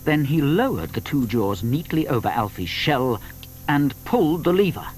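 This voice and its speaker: A man narrating a children's story from a script, with deliberate emphasis. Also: mid-range voice, very animated, slight background noise.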